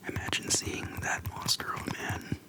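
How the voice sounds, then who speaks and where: Soft whispered ASMR, casual and slangy. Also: poor audio. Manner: soft whispering, unhurried with pauses; informal slangy speech. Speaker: ASMR video creator. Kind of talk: whispered ASMR, casual nostalgic game chat. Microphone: close microphone for ASMR. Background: soft tapping and clicking.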